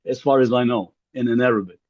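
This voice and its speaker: A man's voice: mid-range voice, steady tone, fast talker, darkish tone. A man whose first language is Ukrainian, joining a workshop discussion on a video call, hesitant and restarting his phrases often. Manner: hesitant, many restarts and repeated words. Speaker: workshop participant. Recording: muffled lo-fi call audio.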